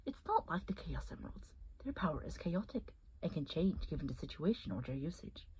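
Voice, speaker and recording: A woman voicing a scheming, fawning male scientist villain in a theatrical, flowery cartoon style, with a breathy, husky voice. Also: deep voice, sing-song, fairly quick, darkish tone, whispered, highs cut off. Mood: smug, explanatory.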